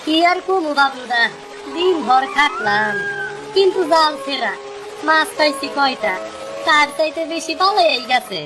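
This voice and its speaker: A woman's voice: sits high, wildly swooping pitch, a little slow, music in the background, full character voice, poor audio. A woman voicing a frustrated young fisherman in a cartoon story, plaintive and complaining, with a rural Bangladeshi Bengali accent. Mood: dejected. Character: frustrated poor young fisherman, pleading and torn.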